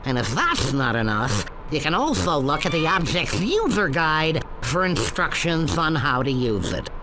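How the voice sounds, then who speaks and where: A man voicing an excitable, sarcastic cartoon duck host giving brash, showy tutorials in a video game. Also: on the high side, unhurried. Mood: brash, instructive. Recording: clearly noisy game audio capture.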